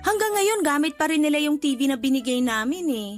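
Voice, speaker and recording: A woman's voice: high-pitched, very animated, brisk. A woman playing a sweet, casually condescending Filipina neighbor in a radio drama, breezy in manner. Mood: friendly, breezy. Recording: light background music under dialogue.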